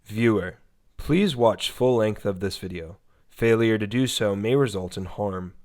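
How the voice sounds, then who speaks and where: A man's voice: fairly low pitch, measured pace, darkish tone, natural voice. Man reading a cold, clinical warning tape. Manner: formal, clinical.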